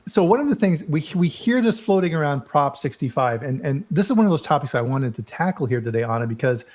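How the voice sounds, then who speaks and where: A man's voice: fairly high pitch, expressive, quick pace, darkish tone. A middle-aged man hosting a live food safety chat, casual and upbeat, fond of corny jokes. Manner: jokey, upbeat, chatty. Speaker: host.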